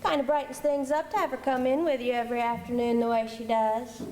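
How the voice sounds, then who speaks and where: Woman playing a high-voiced frontier mail clerk, echoey. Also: flat delivery, fast talker, darkish tone, lightly acted. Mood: teasing. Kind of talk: stage performance of old-time Western radio drama. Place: high school auditorium stage. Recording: echoey auditorium with slight noise.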